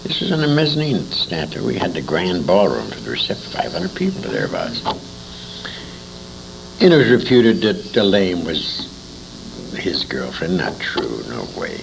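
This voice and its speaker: An older American man recounts anecdotes in an interview with salty, emphatic phrasing. His voice is deep and creaky, leaping widely in pitch as he tells the tale. The recording has faint noise behind him. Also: huge pitch swings, normal speed. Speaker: interview guest, old-time radio veteran. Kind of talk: animated anecdotal storytelling.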